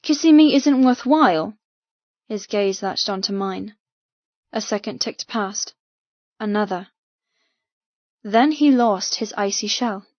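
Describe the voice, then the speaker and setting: A woman's voice: medium pitch, dry recording, highs cut off. Intense female audiobook narrator, very dry sound. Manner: intense, expressive, deliberate. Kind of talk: dramatic first-person fiction narration. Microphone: close mic in dry room.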